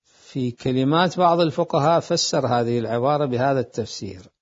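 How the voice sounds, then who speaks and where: A man giving a deliberate jurisprudence lecture, repeating phrases as he explains. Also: mid-range voice. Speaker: seminary jurisprudence teacher. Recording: dull lo-fi lecture recording.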